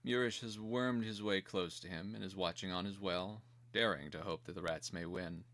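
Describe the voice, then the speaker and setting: A man with a low, forceful voice narrates a dramatic screenplay. He reads deliberately and theatrically, with a grave, crisp-edged tone suited to scripted action.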